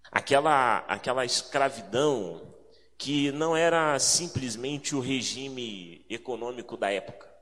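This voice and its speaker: A man's voice: mid-range voice, expressive, measured pace, a bit bright. A man from Rio de Janeiro with a carioca accent preaches as a guest in an informal, reflective way, repeating phrases for emphasis. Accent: carioca Brazilian Portuguese. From Rio de Janeiro, Brazil. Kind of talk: sermon, conversational with prayer and scripture reading. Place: large reverberant indoor meeting space, speaking to a congregation. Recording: reverberant hall sound.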